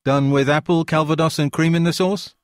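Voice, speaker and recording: A nervous chef, as a male narrator puts him on, polite and eager to smooth things over. The voice sits a little above the reader's own, in a dry, close recording. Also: on the high side, steady tone, fairly quick, no echo, voice pushed up, natural voice. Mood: interested. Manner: polite, conciliatory.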